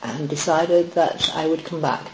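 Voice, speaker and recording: A woman's voice: low-pitched, unhurried. A middle-aged woman from Wales, a guest on a casual podcast, recalling her life reflectively with frequent ums and restarts. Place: small podcast studio. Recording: echoey small room, faint hiss.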